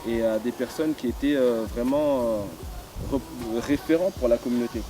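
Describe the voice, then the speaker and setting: A man being interviewed casually, agreeable and halting, often repeating his words. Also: mid-range voice, noisy background. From Congo. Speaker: fashion brand representative being interviewed.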